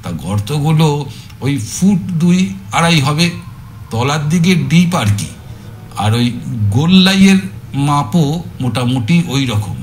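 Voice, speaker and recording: An actor playing a shrewd, jovial older magician-detective with a folksy, avuncular, drawling way of explaining in an audio story. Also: male voice, on the high side, animated, slow, pauses often, a little hiss or hum. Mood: explaining, pleased. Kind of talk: Bengali audio story dramatization.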